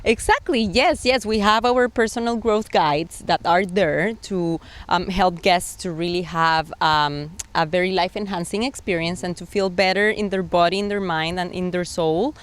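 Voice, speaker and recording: A woman's voice: fairly low pitch, normal speed, few pauses. A woman giving agreeable, explanatory interview answers as a sales director, with phrasing. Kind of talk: interview answers pitching a resort.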